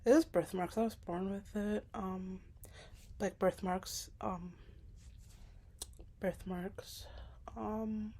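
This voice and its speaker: A hesitant young woman speaks to camera in a casual, personal monologue. Her speech is self-conscious and full of filler words. She keeps her voice soft, sometimes sinking to a whisper. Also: mid-range voice, steady tone, slow pace, long pauses, slightly rough. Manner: soft-spoken, at times dropping to a whisper. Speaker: vlogger.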